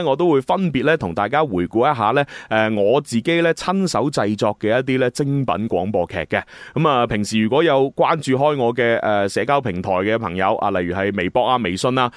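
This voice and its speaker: A man's voice: medium pitch, no echo, clean studio sound. A man hosting a radio show in a casual, chatty style. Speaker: radio host and drama producer.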